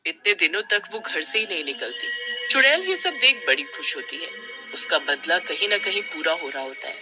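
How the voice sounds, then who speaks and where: Male storyteller, high voice, very animated intonation, horror cartoon narration, music underneath. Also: moderate pace.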